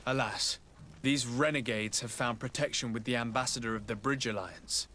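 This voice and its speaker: A man's voice: medium pitch, fairly even intonation, pushed and bright, lightly acted. An actor playing a composed young nobleman envoy in a video game, speaking formally and modestly. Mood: formal, regretful. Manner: formal, measured, polite. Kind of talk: scripted video game cutscene acting.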